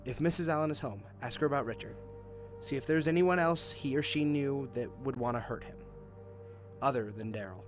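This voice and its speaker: A man's voice: mid-range voice, fairly quick, close to an everyday voice. Earnest man playing police detective, music underneath. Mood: firm, in charge. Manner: earnest, authoritative, probing. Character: male police detective, Hazel's superior and former partner. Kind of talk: audio drama acting.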